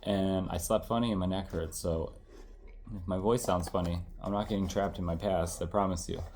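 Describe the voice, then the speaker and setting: A tired, congested man in his thirties rambles through his retro game collection in loose casual chat, often stopping to correct himself and tossing in the odd swear. His voice sits a little low. A faint hiss runs under the recording. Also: fairly even intonation, normal speed, a little mellow.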